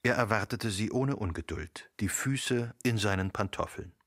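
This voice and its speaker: A man narrating a literary radio play, reading in a measured, articulate way. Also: lowish voice, fairly bright tone, no echo, clean studio sound.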